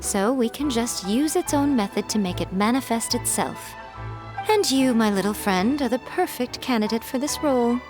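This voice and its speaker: A woman's voice: on the high side, animated, moderate pace, over music, natural voice. A woman voicing a sly, confident fox shrine priestess in a video game, with an amused, teasing way of talking.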